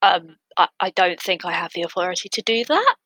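A woman's voice: lowish voice, very animated, brisk, a bit bright, dry recording. A woman playing a timid, polite, non-violent young woman who speaks apologetically in a tabletop game.

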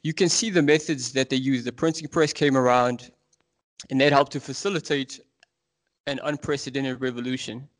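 A man's voice: medium pitch, fairly even intonation. A man in his thirties from South Africa, with a South African accent, talking earnestly and at length as a podcast guest. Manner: earnest, fast-flowing, passionate explaining. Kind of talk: casual interview talk.

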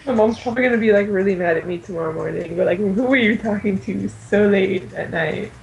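A woman's voice: medium pitch, a little fast, gravelly. A young woman with a mid-range voice chats on a fan podcast. She rambles informally, and the homemade recording carries a faint noise. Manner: informal, rambling, says "like" often. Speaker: podcast co-host. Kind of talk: casual fan podcast chat.

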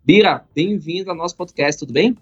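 A man hosting a business podcast, reading a formal introduction and asking questions in a polished, measured way. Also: on the high side. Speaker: podcast host. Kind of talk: scripted introduction and interview questions. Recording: slightly muffled, faint background music.

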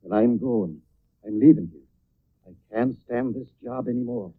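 An actor playing a nervous, superstitious old stage-door watchman, speaking in a shaky, halting way in a radio drama. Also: mid-range voice, sing-song, vocal fry, breathy edge, exaggerated voice. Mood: frightened, agitated. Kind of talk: 1940s radio acting. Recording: tinny, narrow old radio recording.

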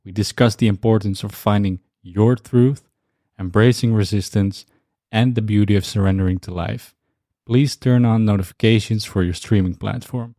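A man's voice: on the low side, fairly even intonation, normal speed, dark tone, no room sound, clean studio sound. A man hosting a podcast, introducing and questioning his guest in a polite, appreciative manner. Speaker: podcast host.

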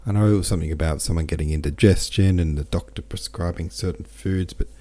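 Man, low voice, mellow tone, book podcast host, reads listener comments aloud. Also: fast talker. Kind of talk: casual book-club talk and reading aloud.

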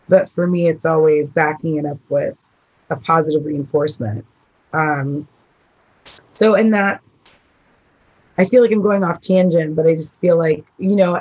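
A woman from the Midwest with a rough voice, chatting casually as a guest about teaching horse riding, rambling and laughing often. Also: low voice, poor audio. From American Midwest. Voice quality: rough, husky voice. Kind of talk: casual interview talk.

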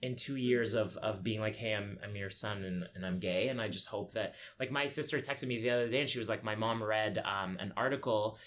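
A man's voice: fairly low pitch, brisk, few pauses, fairly bright tone. Reflective young man, noisy recording. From Egypt. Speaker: podcast guest. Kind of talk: candid personal interview. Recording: clear background noise.

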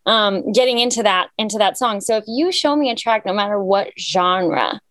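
A young woman from Cyprus tells her story in a chatty, upbeat way, full of "like" and "you know." Her voice sits at an ordinary middle pitch. The recording is close and dry, with no room sound. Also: normal speed, fairly bright tone, no room sound. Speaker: singer guest. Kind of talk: casual interview chat.

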